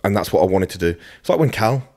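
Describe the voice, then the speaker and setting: A British man in his early thirties with a low-pitched voice talks casually, as a guest in conversation. He is blunt and self-deprecating, and swears freely as he goes. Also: very animated, fast talker, darkish tone. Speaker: podcast guest, fitness coach. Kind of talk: casual chat.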